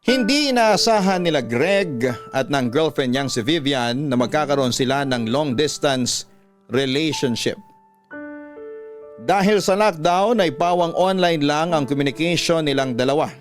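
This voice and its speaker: A man with a Filipino accent reading a listener's letter as scripted radio-style story narration, in a measured storytelling delivery. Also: a little high, lively intonation, soft background music.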